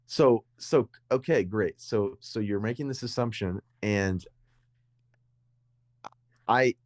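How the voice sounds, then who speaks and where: Young male podcast co-host, deep voice, rambling, repeats himself. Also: slow pace, no room sound. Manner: rambling, repeats words and restarts often. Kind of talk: casual conversation.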